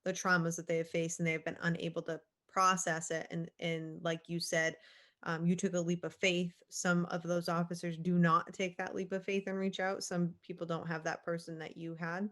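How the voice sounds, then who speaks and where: Woman podcast host, passionate but measured, low and even delivery. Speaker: podcast host, police officer. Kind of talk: interview podcast.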